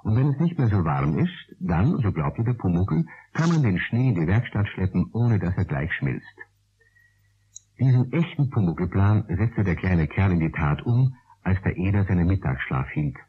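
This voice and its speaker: A man narrating a children's radio play from a script, a husky storyteller who addresses his young listeners. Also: low-pitched, sing-song, moderate pace, exaggerated voice. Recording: tinny, narrow old radio recording.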